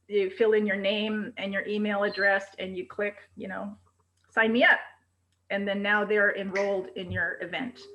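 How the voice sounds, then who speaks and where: Woman coach on a zoom call, loud and energetic, crisp bright voice. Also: a little hiss or hum, rough recording. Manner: loud, projecting, high energy. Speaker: presentation coach. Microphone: video call.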